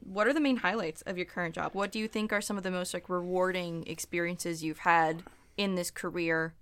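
A woman's voice: fairly low pitch, fairly even intonation, brisk, a bit bright, clean tone. A young American woman interviewing a guest, chatting casually and saying "like" often. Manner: friendly, chatty, uses "like" often.

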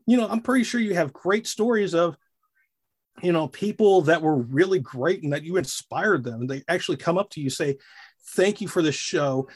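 Animated husky-voiced voice actor. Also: male voice, a little high, normal speed. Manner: animated, demonstrates character voices mid-sentence. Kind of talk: casual interview chat.

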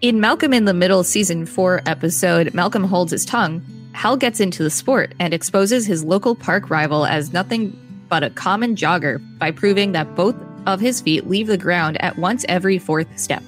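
Lower-pitched woman reading an article aloud. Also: normal speed, faint music behind. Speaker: podcast co-host. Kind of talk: reading an encyclopedia article aloud with casual asides.

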